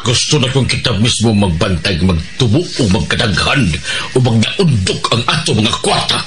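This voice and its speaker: A man's voice: on the low side, expressive, normal speed, close to an everyday voice. A man playing a stern, controlling and curt man of money in a radio drama, with a Cebuano accent. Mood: firm. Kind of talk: Filipino radio drama acting. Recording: music bed behind dialogue.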